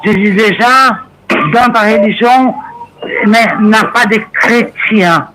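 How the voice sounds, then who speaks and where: Woman on a call, high pitched, halting, keeps repeating herself, faint noise behind. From Brazil. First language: Portuguese. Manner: halting, repeats words while searching for French. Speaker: phone caller. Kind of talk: casual phone conversation.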